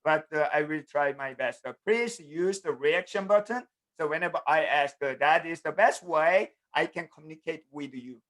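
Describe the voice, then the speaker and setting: A middle-aged man from South Korea, Korean by native language, teaching an online programming class in English with a Korean accent. He talks in halting bits, with many fillers and an often repeated "the". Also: a little high, fairly bright tone. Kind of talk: online class lecture over video call. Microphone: computer mic on a video call.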